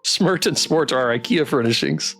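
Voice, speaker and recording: A man's voice: medium pitch, animated, normal speed, fairly bright tone. A man streaming a game playthrough, chatting casually, reading narration and thinking aloud. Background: music.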